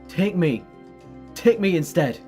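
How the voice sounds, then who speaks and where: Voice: mid-range voice, big pitch swings, slow pace, warm tone, faint music behind. An actor playing an earnest, compassionate alien hero in a sci-fi audio drama, urgent and apologetic in manner. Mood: urgent, pleading. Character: earnest, compassionate alien time-traveller hero, pleading and self-sacrificing.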